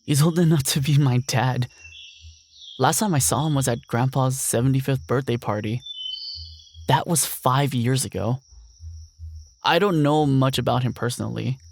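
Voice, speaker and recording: A gravelly, mid-pitched young man's voice acted by a man as a lonely ranch heir in an audio drama. He is earnest, weary and reflective, over faint background noise. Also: normal speed, long pauses, darkish tone, natural voice. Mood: resigned. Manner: plain, reflective monologue.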